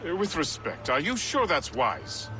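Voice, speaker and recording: An actor playing an eager, deferential ship's officer in a game cutscene, respectful and theatrical. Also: male voice, a little high, wildly swooping pitch, unhurried. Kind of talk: dramatic game cutscene acting. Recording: distant from mic, music behind.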